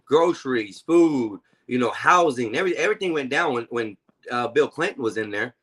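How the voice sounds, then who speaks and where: A man's voice: mid-range voice, expressive, moderate pace, fairly bright tone, slightly rough. A man in his forties hosting a live-stream panel, talking bluntly and confrontationally and swearing freely. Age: 40s. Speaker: stream host.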